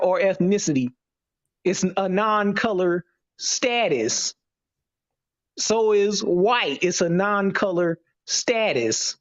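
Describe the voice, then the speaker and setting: Man in his 20s, slow careful explaining, kinda dull lo-fi sound. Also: sits high. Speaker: guest. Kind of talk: casual online discussion.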